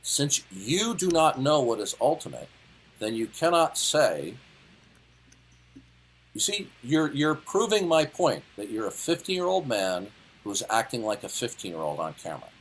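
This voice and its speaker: A man's voice: mid-range voice, sing-song, measured pace, slight background noise, rough recording. Condescending, lecturing man in his fifties. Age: 50s. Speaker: debate caller. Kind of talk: heated debate.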